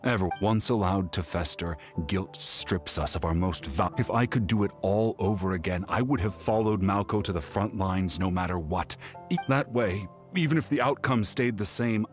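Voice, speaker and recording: An actor playing a weary, regretful warrior in a video game, speaking in an earnest, halting, reflective way. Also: male voice, on the low side, faint music behind, natural voice. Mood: regretful, resolute. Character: weary, regretful warrior man haunted by a lost friend.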